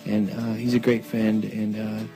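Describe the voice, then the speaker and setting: Very monotone man, lowish voice. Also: unhurried, faint music behind, poor audio. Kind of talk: reflective personal talk.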